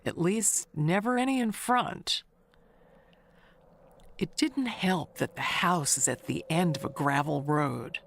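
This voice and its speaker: A woman's voice: lowish voice, takes it slowly, vocal fry, full character voice. A woman playing a chatty, gossipy neighbor in an audio drama, reminiscing in a confiding, rambling way. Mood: confiding, wistful. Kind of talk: audio drama monologue, conversational storytelling.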